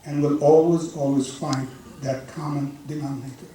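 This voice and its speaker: A man's voice: fairly high pitch, fairly even intonation, normal speed, distant mic, slight background noise. Man speaking in an echoey hall. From Lebanon. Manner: storytelling, earnest, warm-hearted. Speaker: board chairman giving a speech. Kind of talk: speech at a community dinner. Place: large banquet hall. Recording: echoey hall, mic heard from afar.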